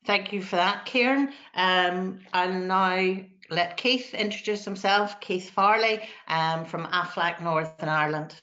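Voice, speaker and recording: Low-voiced woman hosting a webinar. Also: a little slow, few pauses, bright, forceful tone, dull audio. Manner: polite, with many ums and hedges. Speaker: webinar host.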